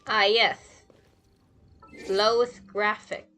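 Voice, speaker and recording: A woman streams a video game with casual, rambling commentary. Her voice is bright and her pace unhurried, with a playful way of talking to herself. Faint game music sits behind. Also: medium pitch, fairly even intonation. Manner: playful, rambling self-talk. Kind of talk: casual live gameplay commentary.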